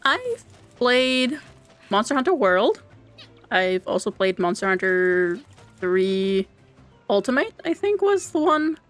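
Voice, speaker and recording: A woman's voice: fairly high pitch, sing-song. A young woman from Austria with an Austrian German accent, German native, chatting casually and giggly on a game stream. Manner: chatty, giggly, halting with restarts. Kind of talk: casual live-stream chat. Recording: dry close mic, quiet game music.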